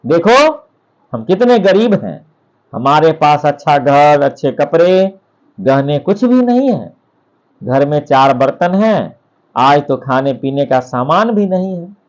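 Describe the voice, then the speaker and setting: A man's voice: fairly high pitch, big pitch swings, takes it slowly, muffled recording. A man voicing a greedy, stubborn fisherman's wife from a storybook, complaining and insistent. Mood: angry complaining.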